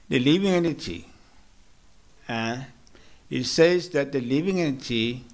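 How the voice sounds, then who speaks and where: Slow, measured South African man preaching. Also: fairly high pitch. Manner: slow, measured, with hesitant restarts and gentle humor. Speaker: monk giving a morning lecture. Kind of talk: sermon. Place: temple hall.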